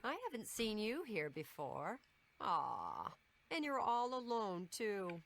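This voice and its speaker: An actress playing a sultry, coy Nordic seductress in a video game, coaxing and flirtatious in a deliberate way. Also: female voice, mid-range voice, huge pitch swings, heavily acted. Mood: coy, seductive. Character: sultry Nord temptress luring a man, coy. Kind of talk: video game character dialogue.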